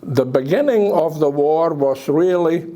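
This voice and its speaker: An older man with a Belgian accent giving a public talk about his memories, speaking haltingly with pauses. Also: fairly high pitch, dark tone. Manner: halting, hesitant delivery with pauses. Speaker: guest speaker.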